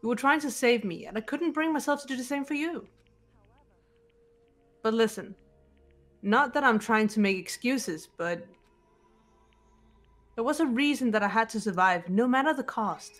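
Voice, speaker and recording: A woman voicing a serious, guarded teenage girl detective in a visual novel game, cool and measured. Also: a little high, animated, fairly bright tone, soft background music. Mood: solemn, confessional.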